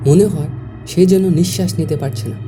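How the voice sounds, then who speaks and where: A woman's voice: on the low side, fairly quick. A woman reading a scripted first-person horror story as its narrator. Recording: music behind the voice. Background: music.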